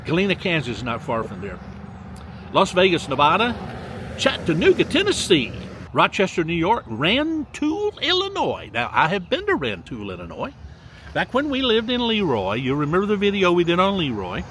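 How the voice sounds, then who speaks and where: A man's voice: high-pitched, wildly swooping pitch, moderate pace, fairly bright tone, a little hiss or hum. An older man from Mississippi, a vlogger reading out where his viewers are watching from, stretching the place names in a sing-song way and adding chatty asides. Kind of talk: casual viewer shout-outs. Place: outdoors by a lakefront.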